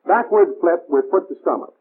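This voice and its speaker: A man's voice: sits high, expressive, brisk, breathy edge. An American man narrating a 1940s military instructional film in a clipped, formal, instructive manner, on a tinny old film soundtrack.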